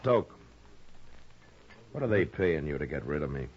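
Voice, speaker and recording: A man's voice: rumbling low, sing-song, normal speed. An actor playing a weary, stern western marshal in a 1950s radio drama, grave and laconic in his delivery. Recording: muffled, noisy 1950s radio recording.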